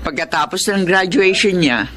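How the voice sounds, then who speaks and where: A woman playing a doting, excitable middle-aged mother in a Tagalog radio drama, fussing and prodding. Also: lowish voice, moderate pace, noisy background, lightly acted. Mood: fussing, excited.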